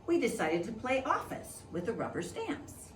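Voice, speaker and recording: A woman reading a children's picture book aloud in an expressive storytelling style, in the manner of a preschool teacher. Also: on the high side, moderate pace. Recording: echoey room, distant mic, faint noise.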